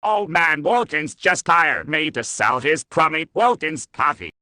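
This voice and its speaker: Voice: mid-range voice, animated, unhurried, pushed and bright, no room sound, clean studio sound. An actor voicing a grumpy, stubborn puppet sourpuss in a comedic ad sketch, curt and sour. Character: grumpy, stubborn puppet sourpuss who refuses every pitch.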